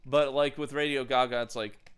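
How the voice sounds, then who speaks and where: A man's voice: mid-range voice, steady tone, normal speed, fairly bright tone, clear, resonant tone. A man on a podcast panel, chatting casually and offering opinions freely. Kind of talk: casual chat.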